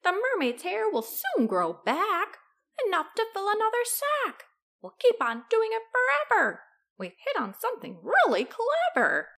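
An excitable crook from a rhyming storybook, played by a woman. He schemes theatrically and exclaims everything in a high voice that leaps up and down. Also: measured pace, creaky, heavily acted. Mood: gleeful, boastful. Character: Hefty Hugh, scheming, excitable male thief. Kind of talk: storybook character voice in rhyming verse.

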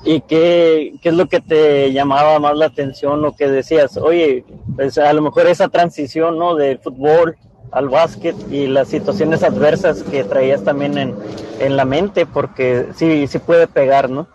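Through slightly noisy, muffled audio, a male interviewer speaks in a fairly high voice. He phrases things conversationally and haltingly, often repeating words as he goes. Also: steady tone, a little mellow. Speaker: interviewer, program host. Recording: dull lo-fi sound with background noise.

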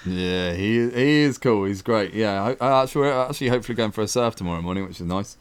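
A young man with a lower-than-usual pitch and a clean, resonant voice. He chats casually on a podcast, animated as he recounts an anecdote. Quoted lines pop up higher as he voices the people in the story. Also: quick pace, clear, resonant tone. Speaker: podcast co-host.

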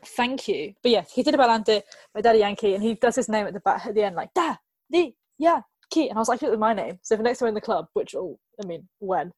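A woman's voice: mid-range voice, expressive, a little fast, darkish tone, dry recording. A young woman co-hosting a podcast, chatting casually in a jokey, self-mocking way. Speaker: podcast co-host. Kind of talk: casual chat.

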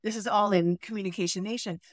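A woman's voice: medium pitch, fast talker, no echo, clean studio sound. A woman, an English pronunciation coach telling stories with enthusiasm in a podcast interview. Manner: enthusiastic, animated storyteller.

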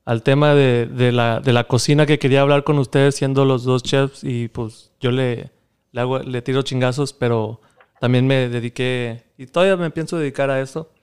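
A man's voice: medium pitch, steady tone, moderate pace, darkish tone, clean tone. A man with a Mexican accent hosting a casual, bantering interview, talking in slangy, profane, teasing style. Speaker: podcast host and fellow cook.

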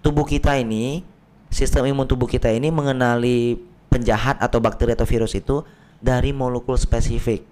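A slightly hoarse man, a doctor talking on a podcast. He explains things patiently and builds simple everyday comparisons. His voice sits at an ordinary middle pitch. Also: steady tone, brisk, a little mellow. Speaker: doctor guest. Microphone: podcast microphone.

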